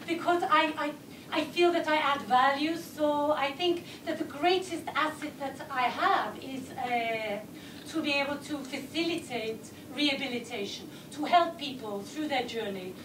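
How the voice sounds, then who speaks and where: A woman's voice: sits high, measured pace, echoey room, far from the mic, noisy background. A woman speech and language therapist speaking in an interview in an earnest, hesitant way, often repeating words as she searches for them. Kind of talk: recorded interview.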